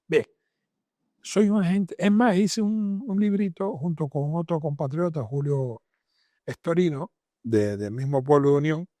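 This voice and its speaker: A man's voice: mid-range voice, a little mellow, no echo, studio quality. An older man with a Cuban accent answering interview questions emphatically, repeating phrases as he builds lists. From Matanzas province, Cuba. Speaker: interviewed doctor and author.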